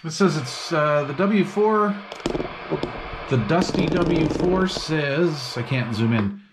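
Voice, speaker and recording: A man's voice: on the high side, animated, slow pace. A man chatting casually and thinking aloud in slangy, unscripted talk as he bench-tests radio gear. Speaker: hobbyist host demonstrating and testing an old CB radio. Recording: noisy, radio static and chatter behind. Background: CB radio receiver hiss and chatter.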